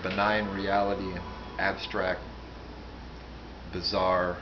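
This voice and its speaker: Music plays clearly behind a man with a fairly low voice. He talks casually and without a script, in a halting, rambling way with asides that trail off. The recording is a little dull and lo-fi. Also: little pitch movement. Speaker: book reviewer, vlogger. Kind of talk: casual, unscripted talk to camera.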